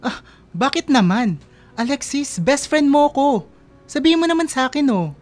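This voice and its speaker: An actor playing an anxious, lovesick young man, earnest and halting, in a casual radio drama over faint music. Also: extremely high pitch, big pitch swings. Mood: pleading. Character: lovesick, anxious young man calling his best friend from abroad. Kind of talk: radio drama acting in casual Filipino talk.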